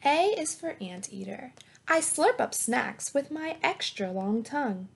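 A woman's voice: high-pitched, big pitch swings, a little slow, gravelly. A woman in her thirties reading a picture book aloud to young children as a teacher, in an encouraging, sing-song way. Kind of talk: children's picture-book read-aloud with simple questions and praise. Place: small plain indoor room at home. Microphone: webcam or laptop mic at arm's length.

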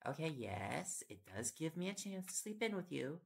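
A very creaky, fry-heavy young male voice acted by a man plays a fond, teasing boyfriend in an audio roleplay. He chats and coaxes playfully in an intimate bedtime style. Heavy noise and clicking run behind him. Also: fairly high pitch, sing-song, normal speed. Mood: playful indignation. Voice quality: gravelly, creaky. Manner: playful coaxing, chatty. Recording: loud background noise with clicks. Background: ticking and clicking sounds.

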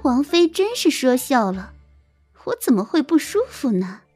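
A woman's voice: sits high, big pitch swings, a little slow, darkish tone, husky, soft background music, heavily acted. A woman playing a haughty young concubine in an audio drama, mocking with falsely sweet, spiteful politeness. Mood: feigned politeness. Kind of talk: Chinese audio drama acting.

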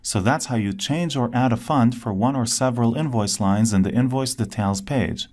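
A man narrating a scripted step-by-step software tutorial in a clear instructional manner. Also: medium pitch, normal speed.

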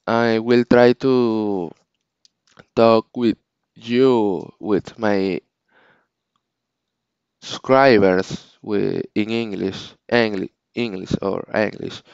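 A slow-talking man with a Spanish accent gropes for English words, restarting phrases again and again. His voice is low, smooth and clear, recorded very dry on a slightly dull, lo-fi home mic. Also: steady tone, a little mellow, dry recording. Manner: halting, searching for English words, frequent restarts. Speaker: channel owner. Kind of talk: casual unscripted vlog talk.

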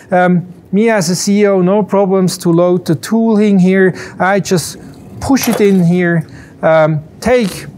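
Halting, higher-voiced executive man. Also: darkish tone, a little hiss or hum. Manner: halting, many restarts and fillers. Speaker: company CEO. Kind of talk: unscripted corporate interview and demo. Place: large company showroom with machines.

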